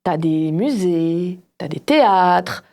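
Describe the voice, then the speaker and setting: A woman's voice: fairly low pitch, slow. A woman speaking as a panelist in a casual Bible discussion, explaining things like a storyteller who acts out examples. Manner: animated storytelling, acts out imagined quotes. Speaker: panelist on a religious discussion show.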